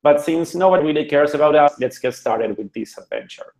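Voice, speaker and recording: A man's voice: a little high, fairly quick, a little mellow, reverberant, poor audio. A man with an Argentinian accent, a web designer giving a friendly, joking presentation over a video call. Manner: friendly, joking, conversational with restarts. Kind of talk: online conference presentation. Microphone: computer mic on a video call.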